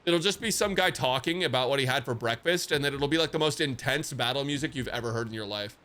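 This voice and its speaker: A man's voice: mid-range voice, fast talker, sharp tone. A man livestreaming a game reaction, talking casually to his chat in a rambling, sweary, slangy way.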